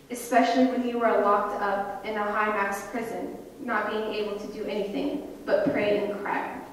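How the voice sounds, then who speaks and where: A woman's voice: fairly high pitch, far from the mic. Impassioned young woman in an echoey hall. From United States. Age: young adult. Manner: impassioned, rhetorical questions. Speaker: student speaker. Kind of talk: prepared speech at a campus event. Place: university hall.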